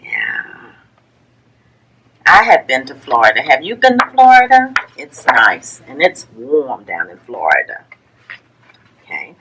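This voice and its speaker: Slow-paced woman reading a children's picture book. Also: deep voice, sharp tone, slightly rough, low-quality recording. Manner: deliberate, rhythmic reading of rhyming verse for young children. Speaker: librarian story-time reader.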